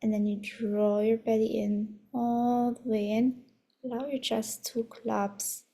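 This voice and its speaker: A woman leading a guided meditation as an instructor, soothing, unhurried and gently instructive, with a soft voice that turns breathy at times. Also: fairly high pitch, level tone.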